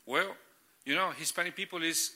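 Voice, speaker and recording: Halting Spanish-native man, bright voice. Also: fairly high pitch. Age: middle-aged. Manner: halting, searching for English words. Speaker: church planting catalyst. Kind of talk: guest sharing ministry stories.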